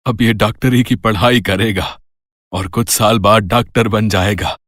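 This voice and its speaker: A man's voice: fairly low pitch, steady tone, measured pace, fairly bright tone, no echo, clean studio sound. A man playing a kindly, devout old grandfather in a devotional cartoon story, speaking in earnest, reverent prayer. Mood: joyful gratitude.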